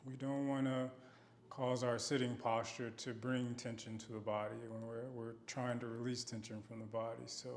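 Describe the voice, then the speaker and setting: A man guiding a body scan meditation in a gentle, unhurried manner with long pauses. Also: medium pitch, slight background noise. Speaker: meditation guide.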